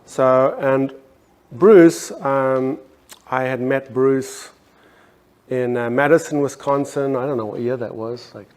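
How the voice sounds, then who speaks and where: A man's voice: medium pitch, steady tone, slow pace, a little mellow, clean tone. A middle-aged man from South Africa with a South African accent, speaking on an art panel in a hesitant way, with many ums and restarts. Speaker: panelist artist. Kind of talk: casual panel talk.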